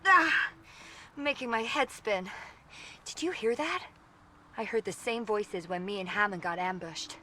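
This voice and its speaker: A woman's voice: medium pitch, measured pace, bright, forceful tone, a little hiss or hum. A woman voicing a thoughtful, practical young stalker in a video game, speaking plainly. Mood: distressed. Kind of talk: video game character acting.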